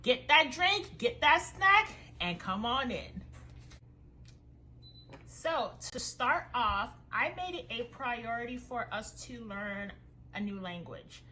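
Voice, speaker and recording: A woman's voice: on the high side, sing-song, slow pace, sharp tone, slight background noise, poor audio. A young woman from Haiti whose first language is French, a homeschooling mother giving an upbeat, friendly review of her curriculum in a casual vlog.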